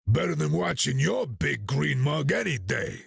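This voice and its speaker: A man voicing a gruff, good-natured rocky bruiser in a video game, wisecracking in a rough voice. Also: on the high side, big pitch swings, unhurried, no room sound, exaggerated voice. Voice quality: very gravelly, rough. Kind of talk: video game character dialogue.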